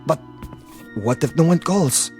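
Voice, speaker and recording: A man's voice: fairly high pitch, measured pace, a little mellow, soft background music, natural voice. An actor playing an eager, anxious young henchman in a vintage television drama, asking terse, urgent questions. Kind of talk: 1960s television drama acting.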